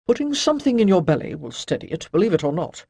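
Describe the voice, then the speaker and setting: Narrator doing wise old guy, patient, husky, kinda lecturing. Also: medium pitch, sing-song, fast, a little mellow, dry recording, studio quality, heavily acted. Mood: kindly. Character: elderly wise male master, calm and kindly.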